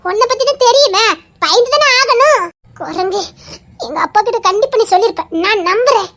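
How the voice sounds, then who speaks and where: A woman's voice: very high voice, animated, a little fast, a bit bright. A woman playing a fiery young sweetheart who scolds and threatens her lover while laughing. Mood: scolding. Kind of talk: amateur romantic drama.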